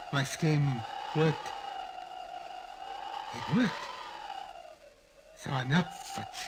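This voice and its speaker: An actor playing a desperate, weakening wounded husband, halting and melodramatic, in an old-time radio drama. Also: male voice, medium pitch. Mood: grim triumph. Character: desperate wounded husband, bitter lifelong fumbler, slowly weakening. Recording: noisy vintage radio recording.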